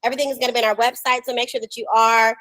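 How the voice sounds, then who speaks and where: A woman with a high voice and a dry, close sound hosts a casual podcast. Her delivery is animated and sassy, full of slang. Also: very fast, no echo. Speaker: podcast host. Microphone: video call.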